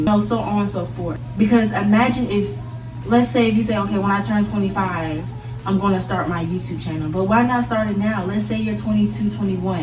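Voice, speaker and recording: A young woman vlogger chatting casually to camera, giving an emphatic, intimate girlfriend-to-girlfriend pep talk. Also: lowish voice. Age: young adult. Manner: emphatic, intimate pep-talk delivery, addressing listener as girl and baby. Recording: roomy echo, distant mic, music behind. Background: background music.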